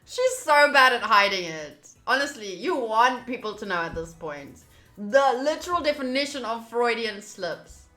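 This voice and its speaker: A woman's voice: fairly high pitch, lively intonation, normal speed, sharp tone. A woman streamer giving casual playthrough commentary on a visual novel and reading lines aloud. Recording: roomy sound with faint game music. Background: faint game music.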